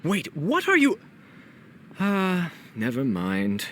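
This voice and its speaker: Slow, swooping, rattled official in a game. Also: male voice, a little high, huge pitch swings, a bit bright, slight background noise, exaggerated voice. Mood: uneasy.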